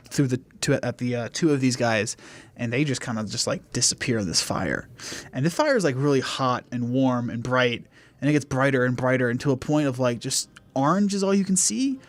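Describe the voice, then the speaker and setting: A man running a tabletop game, narrating off the cuff in an informal, halting way. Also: mid-range voice, no echo. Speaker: game master.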